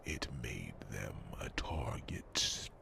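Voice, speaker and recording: A man's voice: very animated, heavily acted. A man voicing a huge ancient dragon in an ASMR fantasy roleplay, a brooding, fond protector who speaks formally in a growling whisper with pensive hums. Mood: grave, pensive. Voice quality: deep gravelly growl, breathy whispering. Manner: slow, ponderous, formal phrasing with pensive hums.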